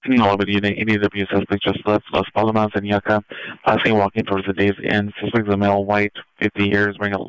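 A man's voice: on the low side, completely flat delivery, quick pace, hardly any pauses, smooth, no echo. A male police dispatcher reading out incident details in clipped phrases over a dull police radio.

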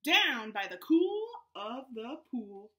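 A woman's voice: a little high, very animated, slow, sharp tone, heavily acted. A woman voicing a gleeful little frog from a storybook who squeals and sings out to its friends to join the dance. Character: gleeful small frog, party-loving.

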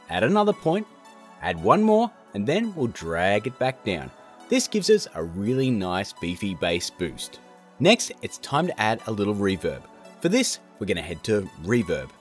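A man hosting a software tutorial in a casual, chatty, jokey narrating style. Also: fairly low pitch. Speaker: tutorial host.

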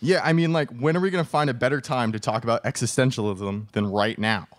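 A young man playing a laid-back moviegoer with a casual, halting delivery. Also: mid-range voice, dry recording, lightly acted. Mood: easygoing. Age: young adult. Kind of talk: student radio theatre dialogue. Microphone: microphone on stand.

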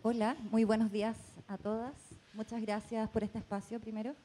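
A woman's voice: fairly high pitch, level tone, moderate pace, mellow. A woman from Chile with a Chilean accent puts a spontaneous question to a panel from the audience. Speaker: audience member asking a question, researcher. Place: audience in indoor hall. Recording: faint room noise.

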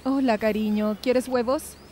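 Woman playing placid mother, mellow higher voice. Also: steady tone, measured pace, a little hiss or hum, lightly acted. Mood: mild, caring. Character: placid, caring middle-aged mother at breakfast. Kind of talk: amateur fan dub acting.

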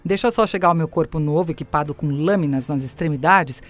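An actress playing a bossy, menacing artificial intelligence in an audio drama, mock-sweet, sarcastic and commanding. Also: female voice, low voice, fairly quick, soft background music. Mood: sarcastic menace.

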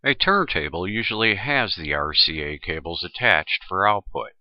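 A man's voice: low-pitched, expressive, normal speed, no echo, highs cut off. A man presenting a tutorial in scripted, instructional narration.

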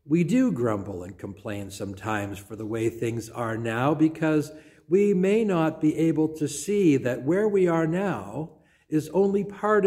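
Slow, measured older male preacher. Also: mid-range voice. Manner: measured, deliberate preaching with soft trailing phrase endings. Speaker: pastor. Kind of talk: sermon. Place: small church sanctuary, indoors. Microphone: phone or camera at close range.

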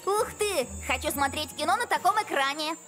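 A woman plays a curious young cartoon hero. The voice is high and childlike, full of eagerness, over a clear music track. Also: moderate pace, natural voice. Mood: eager. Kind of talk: animated series dub acting. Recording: music behind the voice.

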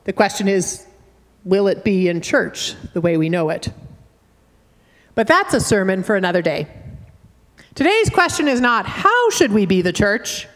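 A woman's voice: mid-range voice, big pitch swings. Canadian woman preaching in a reverberant church. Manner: measured, earnest preaching with gentle humor. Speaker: minister. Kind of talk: sermon. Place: wood-panelled church sanctuary, at a pulpit. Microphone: fixed church camera at a distance.

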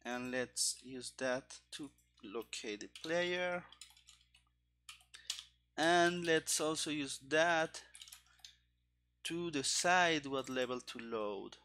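A man in his thirties talking through live coding in a halting, muttering way, into a headset. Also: on the high side, expressive, sharp tone, a little hiss or hum. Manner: halting, muttering to himself while working. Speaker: programmer, host of coding stream. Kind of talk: live coding commentary, thinking aloud. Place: indoor room at a computer desk. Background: keyboard typing and clicking.

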